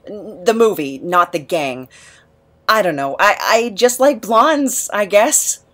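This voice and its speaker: A woman playing an awkward, emotional misfit in an audio drama, hesitant and stammering, quick to put themselves down. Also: mid-range voice, sing-song. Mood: nervous, sheepish. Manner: hesitant, stammering, self-deprecating. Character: awkward, emotional, rambling misfit named Nap.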